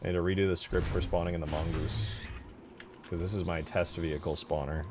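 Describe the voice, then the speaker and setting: A man's voice: low-pitched, fairly even intonation, fairly quick, over music. A man chatting casually and in slangy, sweary terms on a livestream while he works on game mods. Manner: laid-back, slangy, profane. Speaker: streamer building video game mods.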